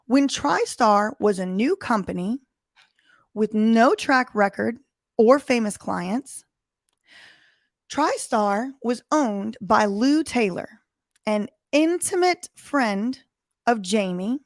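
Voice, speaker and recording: Hoarse woman lawyer reading court filings. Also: on the high side, dry recording, studio quality. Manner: emphatic, explains in plain terms. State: recovering from lost voice. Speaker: lawyer commentator. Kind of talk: reading and commenting on court documents.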